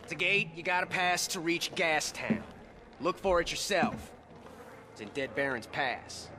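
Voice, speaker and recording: Erratic wry stronghold chief, slow taunting drawl, game character, noisy ambience. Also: male voice, a little high, expressive. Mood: mocking. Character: wry, wary wasteland stronghold boss, erratic and mocking. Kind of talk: video game character acting.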